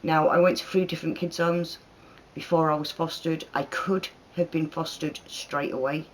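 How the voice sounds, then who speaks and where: Host in their 30s with a mixed northern/southern English accent, rambling, chatty, quick to laugh. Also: medium pitch, steady tone, slight background noise, poor audio. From northern England. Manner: chatty and rambling, laughs often, lots of fillers. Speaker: channel host answering viewer questions. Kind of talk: casual Q&A chat.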